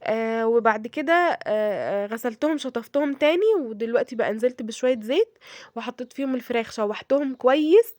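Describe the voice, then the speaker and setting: A woman with an Egyptian Arabic accent, hoarse from a cold, chatting through a home cooking tutorial and often checking in with her viewers. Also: fairly high pitch, quick pace, a little mellow, dry recording, studio quality. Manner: chatty, addresses viewers directly, frequent fillers and check-ins. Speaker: cooking vlogger.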